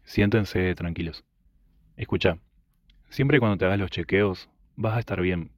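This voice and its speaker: A man playing a calm, supportive doctor in a student radio drama. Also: low-pitched, normal speed, no echo, highs cut off, close to an everyday voice. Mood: reassuring.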